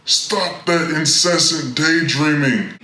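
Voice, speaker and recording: An echoey room carries the slow, deliberate voice of a stern father, acted out by a man. He is harsh and commanding, a domineering storybook dad who scolds. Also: a little high, background noise. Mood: scornful, scolding. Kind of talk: storybook character voice.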